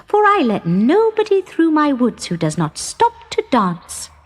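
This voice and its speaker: Woman playing dancing boy, young, lively, teasing, high voice, swooping lilt, fairy-tale character. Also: unhurried, exaggerated voice. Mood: playful.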